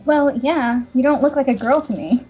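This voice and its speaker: A blunt, wary young princess played by a woman in a fantasy game dub. Her voice is high and rather husky, on a dull microphone. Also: moderate pace, darkish tone. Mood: blunt, breezy. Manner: blunt, questioning. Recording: dull microphone, faint music behind.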